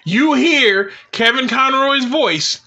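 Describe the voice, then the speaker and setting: A man's voice: extremely high pitch, lively intonation, slow, sharp tone, highs cut off. A man in his forties chatting casually and emphatically as co-host of a show, rambling with strong opinions and swearing. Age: 40s. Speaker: co-host of a comic book talk show.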